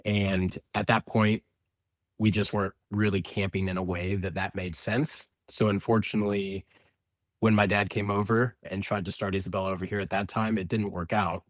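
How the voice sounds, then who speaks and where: A man's voice: fairly low pitch, flat delivery, normal speed, dry recording. A man from Indianapolis, answering interview questions about his family business in an explanatory way with frequent restarts. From Indianapolis, USA. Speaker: company representative.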